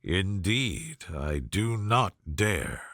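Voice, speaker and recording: A proud young gentleman from a classic storybook, read by a male narrator. He speaks with formal, measured gallantry and dry politeness, reserved but bright in tone. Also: sits low, very animated, creaky, no room sound, pitched below the performer's own voice, full character voice.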